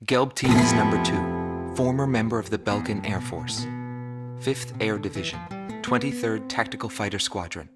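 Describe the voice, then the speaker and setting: Man acting low-voiced documentary narrator over guitar music. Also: moderate pace, lightly acted. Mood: sober. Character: sober male documentary reporter narrating a war history. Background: loud plucked guitar music.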